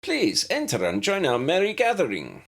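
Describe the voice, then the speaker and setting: A suave Italian professor, voiced by a man reading a storybook, theatrical and courteous. His voice swoops widely and has a gravelly grain, with playful, gallant charm. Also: sits high, wildly swooping pitch, moderate pace, pitched above the performer's own voice, full character voice. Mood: welcoming. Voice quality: warm, smooth, musical.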